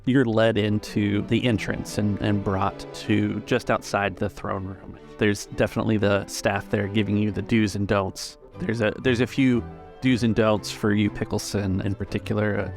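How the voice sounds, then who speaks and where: A man runs a tabletop game as its narrator. His voice is fairly low with a lot of fry, and he builds descriptions in halting, searching phrases. Faint music plays underneath. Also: flat delivery. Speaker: game master.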